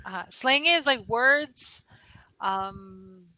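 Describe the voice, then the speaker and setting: Woman, Californian accent, slightly high voice, bright tone, chatty, video call tutor. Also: animated, extremely slow, no room sound. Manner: chatty, laughs easily, explains patiently. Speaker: online English tutor. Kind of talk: casual conversation lesson. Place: lives in Costa Rica.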